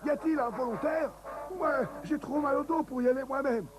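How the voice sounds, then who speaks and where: A very high-pitched, grumpy little dwarf boss in a children's cartoon. He scolds and gives orders like a weary foreman, with music playing behind him. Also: brisk. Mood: bossy. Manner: commanding, scolding. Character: bossy grumpy dwarf leader with an aching back. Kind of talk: dubbed children's cartoon acting.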